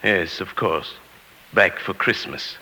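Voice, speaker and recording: A man's voice: low-pitched, slow, bright, forceful tone, heavily acted, rough recording. An actor playing a mild, hesitant, middle-aged professor bossed around by his wife, in 1940s radio drama style. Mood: meek, evasive. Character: meek henpecked bearded English professor, quietly seething. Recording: old 1940s radio broadcast with music.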